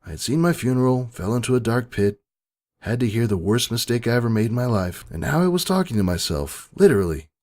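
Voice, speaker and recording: A man's voice: medium pitch, animated, fast, a little mellow. Man giving an emotive dramatic story narration. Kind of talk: dramatic scripted first-person narration.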